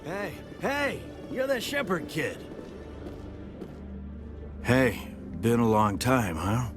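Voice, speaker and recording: An actor playing a middle-aged retired soldier, down on his luck, who begs in a halting, stammering way. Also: medium pitch, huge pitch swings, over music. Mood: wheedling.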